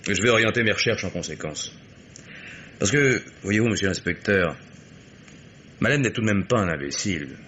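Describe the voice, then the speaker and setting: An actor playing a composed, courteous but evasive private investigator in a French radio mystery play, speaking in measured, polite phrases. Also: male voice, on the low side, animated, bright, forceful tone, a little hiss or hum. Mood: calm, firm.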